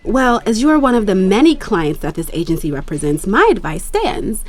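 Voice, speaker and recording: A young adult actress playing an ambitious female sports agent who lectures in a crisp, cutting way. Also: mid-range voice, big pitch swings, moderate pace. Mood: lecturing. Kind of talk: audio drama dialogue.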